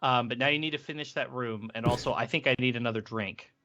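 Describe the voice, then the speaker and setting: A man's voice: mid-range voice, fairly even intonation, fast talker, bright, forceful tone, lo-fi sound, a little hiss or hum. A man co-hosting a podcast, chatting casually, teasing and blunt, swearing freely. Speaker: podcast co-host. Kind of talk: casual chat during a game stream. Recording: clear music playing behind the voice.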